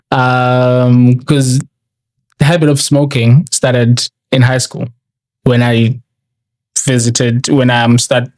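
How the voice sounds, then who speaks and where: A man's voice: mid-range voice, no echo. A young man, talking as an interview guest and looking back with a reflective, candid manner. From South Africa. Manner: reflective, candid, searching for words. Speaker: guest, barista.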